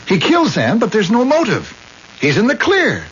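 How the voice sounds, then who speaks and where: An actor playing a shrewd attorney in a radio drama, brisk and reasoning things out aloud. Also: male voice, high voice, wildly swooping pitch, fairly bright tone, full character voice. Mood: excited, deducing. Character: brisk, shrewd, reluctant male attorney friend. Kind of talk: 1970s radio drama acting. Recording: muffled, hissy old radio broadcast.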